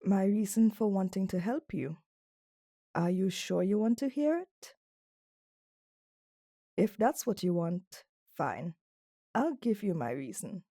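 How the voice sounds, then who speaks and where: Slow, mellow, commanding female CEO acted by a woman. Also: mid-range voice, expressive. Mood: stern, controlled. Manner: dominant, stern, deliberate. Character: cold, commanding female CEO, flirtatious and condescending. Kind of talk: ASMR roleplay acting.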